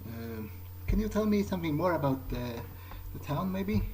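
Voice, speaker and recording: A man role-playing his character in a video game playthrough, thinking aloud in a hesitant, halting, musing way. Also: fairly high pitch, expressive, a little mellow, slight background noise, rough recording. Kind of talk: improvised in-character monologue over a video game.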